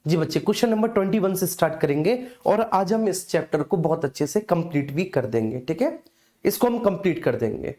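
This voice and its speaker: A man's voice: high voice, animated, fairly quick, mellow. A man teaching an informal live online exam class, instructing repetitively and often checking that students follow.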